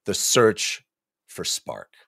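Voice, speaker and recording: A man's voice: very slow, a bit bright. A man in his forties hosting a podcast in casual chat, close to the microphone. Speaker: podcast host. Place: small home studio. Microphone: close microphone on boom arm.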